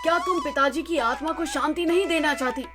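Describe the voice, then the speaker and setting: A woman's voice: high voice, fairly quick, music in the background, close to an everyday voice. A woman voicing a naive, stubborn merchant's son in a cartoon story, simple and insistent.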